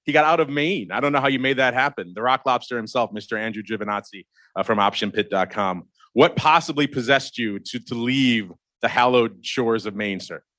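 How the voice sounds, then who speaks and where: Joking host, dry close sound. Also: male voice, mid-range voice, fairly quick, sharp tone, no echo. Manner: jokey, teasing banter. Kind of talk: casual chat. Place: event space away from the studio.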